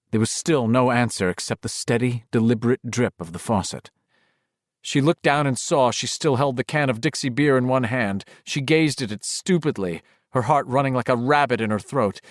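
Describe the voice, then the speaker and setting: A man narrates a horror audiobook in a mid-pitched voice, recorded close and dry. His reading is dramatic and suspenseful, with expressive shifts in intensity. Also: no echo.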